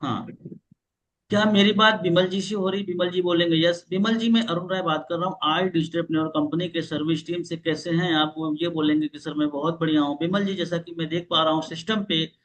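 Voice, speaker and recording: A man's voice: on the high side, fairly even intonation, brisk, a bit bright, low-quality recording. A man leading a live online sales training, explaining how to call old leads and acting out both sides of the call with repeated questions. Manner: explains with role-play examples and repeated questions. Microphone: video call.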